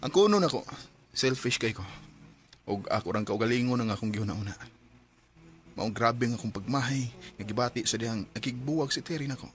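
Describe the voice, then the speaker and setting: A man's voice: medium pitch, fairly quick, lightly acted. A man acting a guilty, cheating husband in a Bisaya radio drama, emotional and pleading, with a Cebuano accent. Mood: remorseful. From Philippines.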